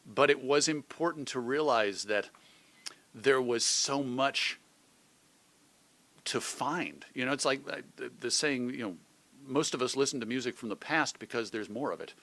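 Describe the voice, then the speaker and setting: A man's voice: mid-range voice, moderate pace, pushed and bright. A middle-aged man with an American accent, talking reflectively and articulately as a guest in a conversational interview. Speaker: interview guest, radio host and editor.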